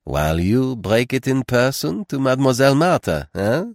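A man's voice: low-pitched, big pitch swings, measured pace, no room sound, studio quality, full character voice. A man voicing a fussy, dignified Belgian detective with a French accent and a precise, theatrical, philosophical manner, in a mystery audiobook. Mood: twinkling, agreeable.

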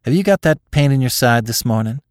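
A man's voice: mid-range voice, animated, a little fast, no echo, studio quality, pitched above the performer's own voice, close to an everyday voice. A man voicing a firm, plain-spoken, middle-aged farm mother with homely rustic speech in an audiobook story. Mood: concerned.

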